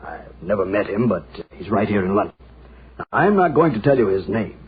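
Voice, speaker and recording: A man's voice: on the low side, very animated, a little fast. An actor playing a blunt, hard-selling American businessman in a brusque 1940s radio acting style. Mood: pushy, secretive. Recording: muffled old radio broadcast.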